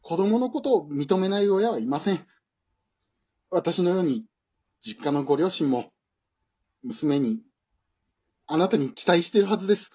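A man's voice: high-pitched, animated, a little slow, long pauses, mellow, slightly rough, highs cut off, heavily acted. A man playing a timid, nervous, unimpressive husband and father in an amateur radio drama, stammering and hesitant. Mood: earnest, encouraging.

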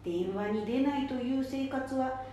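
A woman's voice: high-pitched, measured pace, warm tone. A woman playing a patient, polite safety advisor who explains things step by step in an amateur radio drama. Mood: instructive. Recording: very echoey room, faint noise.

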